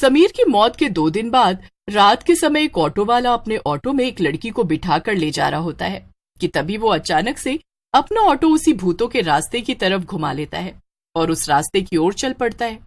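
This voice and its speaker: A woman narrating a scripted horror story in a dramatic, expressive way. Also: mid-range voice, normal speed.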